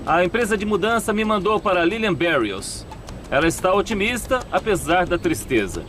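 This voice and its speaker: A man voicing a show host in a dubbed documentary, narrating and asking questions in a measured, grave, explanatory way. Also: sits high, animated. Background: background music.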